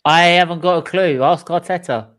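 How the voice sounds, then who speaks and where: A man's voice: fairly high pitch, moderate pace, fairly bright tone. A man hosting a football watchalong as a fan, bantering casually in a jokey, chuckling way.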